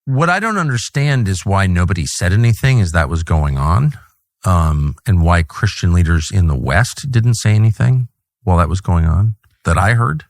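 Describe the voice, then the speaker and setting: A man from California interviewing a guest, asking probing, deliberate questions. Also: low voice, lively intonation, no room sound, clean studio sound. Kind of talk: interview conversation.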